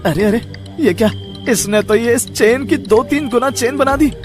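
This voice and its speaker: A man's voice: extremely high pitch, moderate pace, over music. A man playing a sly, greedy cartoon thief who schemes aloud to himself. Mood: greedy excitement. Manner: scheming, muttering to himself with "hmm". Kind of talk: cartoon story dialogue.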